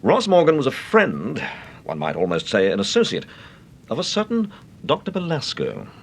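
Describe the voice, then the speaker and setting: An actor playing a suave married gentleman detective in a British radio drama, speaking in a measured, courteous, probing way with a British accent. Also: mid-range voice, wildly swooping pitch, vocal fry, full character voice.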